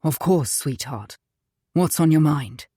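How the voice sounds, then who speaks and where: A moody, controlling husband, as a woman narrator puts him on, his voice dropped low and level. The delivery is curt and dismissive, coated in false sweetness, and the recording is dry and close. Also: animated, takes it slowly, dark tone, breathy edge, no echo, exaggerated voice. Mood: falsely sweet. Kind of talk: audiobook character voice.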